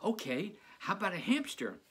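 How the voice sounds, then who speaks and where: Kind zombie kid for a children's storybook, male reader: chirpy, earnest, swooping, slightly rough-edged. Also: sits high, big pitch swings, normal speed, a bit bright, voice pushed up, full character voice. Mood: eager, helpful. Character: eager, kind-hearted second-grade zombie boy.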